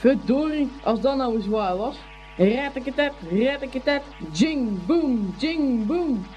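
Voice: high voice, animated, slow, lo-fi sound, slight background noise. An actor playing an exuberant, good-hearted young schoolboy in a Dutch radio play, eager and boisterous. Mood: excited.

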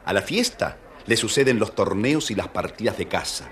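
Low-voiced male storyteller. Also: animated, moderate pace, a bit bright. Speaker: storyteller, narrator. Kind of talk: scripted children's fairy-tale narration.

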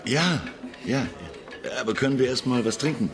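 A man's voice: mid-range voice, moderate pace, soft background music, lightly acted. A man playing an easygoing, slightly flustered investigator in a radio drama, casual and hesitant in delivery. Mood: eager, casual. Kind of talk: naturalistic German radio drama acting.

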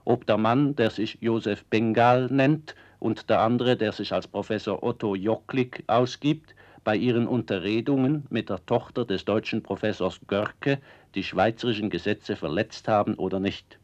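An actor playing a radio reporter giving a measured, formal 1960s courtroom report in a radio drama. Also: male voice, medium pitch, highs cut off, lightly acted.

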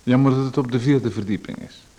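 A man's voice: mid-range voice, fairly quick, dark tone, lightly acted. A man playing a pushy, nosy stranger in a radio play, who makes himself at home and asks for one thing after another. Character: pushy male stranger named Macon, nosy and overbearing.

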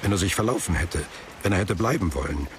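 A man narrating a literary radio drama in a measured, formal way, with music behind him. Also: low-pitched, animated, noisy background.

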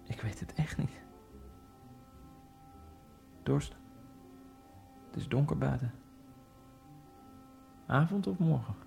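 A man narrating a radio drama in the first person, in a halting, fragmented inner monologue with a gravelly voice. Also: mid-range voice, expressive, darkish tone. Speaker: narrator and main character. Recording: radio drama with faint music.

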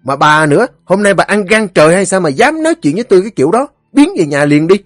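A man voicing a belligerent, boastful village drunkard in a storybook, cursing roughly with a Southern Vietnamese accent. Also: mid-range voice, expressive, brisk, fairly bright tone, dry recording, studio quality, soft background music. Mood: furious, threatening. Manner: blustering, cursing, rough rural speech.

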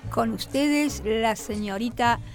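An actress playing a formal, showy cabaret announcer in a comic radio play. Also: female voice, fairly high pitch, slow, faint music behind.

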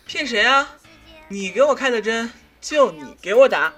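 Cocky hothead young man played by a woman, high-pitched and animated, brash and mocking, radio-play delivery. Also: normal speed, faint music behind. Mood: cheeky, pestering. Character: cocky, hot-tempered, foul-mouthed young man. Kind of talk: audio drama acting.

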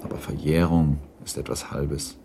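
A male narrator reading literary prose for a radio drama. His voice is extremely deep and mellow with a gravelly fry, and his delivery is sober and measured. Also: flat delivery, a little hiss or hum.

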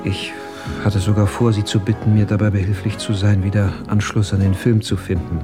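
A man's voice: lowish voice, little pitch movement, fairly quick, natural voice. A man playing a bitter, resentful husband in a radio drama, speaking in an articulate, embittered way. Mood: aggrieved. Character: bitter, resentful husband trapped in a loveless marriage. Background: music.

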